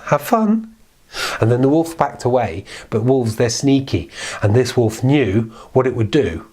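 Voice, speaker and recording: A man's voice: mid-range voice, moderate pace, warm tone, husky. A man telling a children's story in an informal, improvised way, chatty and playful, with repeated phrases.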